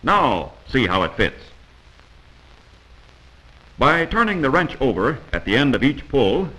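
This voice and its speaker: A man's voice: mid-range voice, big pitch swings, unhurried, sharp tone, poor audio. An American man narrating a scripted 1940s instructional film in a didactic, admonishing manner, heard on an old film soundtrack.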